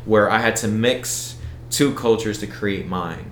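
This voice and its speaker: A man's voice: lowish voice, a little slow, roomy sound, a little hiss or hum. A man with an American accent, talking casually as an interview guest and circling back through his sentences. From United States. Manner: rambling, many restarts and fillers. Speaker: event organizer, guest.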